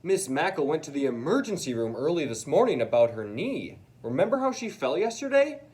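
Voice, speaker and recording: A man voicing a calm, kindly school principal in a children's storybook, measured, explanatory and reassuring. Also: fairly high pitch, voice pushed up. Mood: grave.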